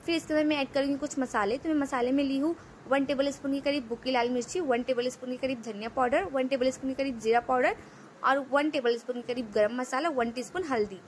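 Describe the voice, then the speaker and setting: A woman hosting a cooking channel, explaining a recipe step by step in a steady voice-over and often repeating her phrases. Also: high voice, fast talker, a little hiss or hum.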